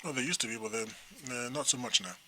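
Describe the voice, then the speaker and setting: A middle-aged man from Nigeria talks casually on a talk show, with a very bright, sharp tone. He rambles and restarts, and his pitch sits in a middle range. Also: fast talker, slight background noise, poor audio. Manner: rambling, animated storyteller with restarts. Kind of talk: casual chat.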